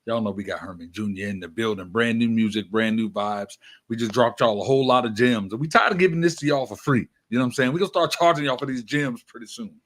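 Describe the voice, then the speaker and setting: A man's voice: mid-range voice, fast, hardly any pauses, a bit bright. A man hosting a casual hype interview, talking in slang with frequent check-in tags. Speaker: radio show host.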